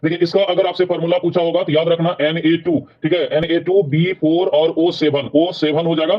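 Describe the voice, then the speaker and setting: A man's voice: on the high side, level tone, moderate pace, lo-fi sound. A man with a Bihari accent teaches an online exam review as a coaching teacher, repeating questions and prompting viewers with frequent check-in tags.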